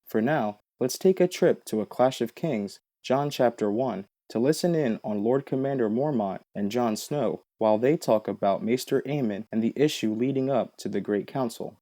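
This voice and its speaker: Casting: male lore narrator, dark soft tone, medium pitch, factual and explanatory, scripted read. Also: normal speed, few pauses. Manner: explanatory, matter-of-fact.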